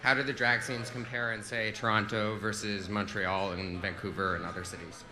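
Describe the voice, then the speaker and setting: A man's voice: on the low side, flat delivery, moderate pace. A man in the audience politely asking a question, heard from a distance. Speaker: audience member. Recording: far from mic, crowd noise.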